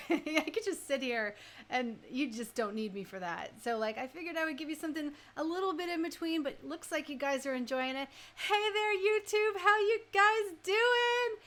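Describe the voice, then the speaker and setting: A woman's voice: high voice, a bit bright. A woman DJ hosting a live online music show, chatting casually and effusively with her listeners in an informal way. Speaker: online radio DJ and host.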